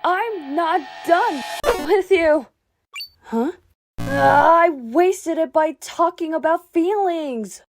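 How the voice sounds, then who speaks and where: A woman voicing a moody, lost young female fox creature in an animated comic dub, with a hesitant, emotional, slightly whiny delivery. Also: very high-pitched, extremely slow, music in the background, exaggerated voice. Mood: frantic.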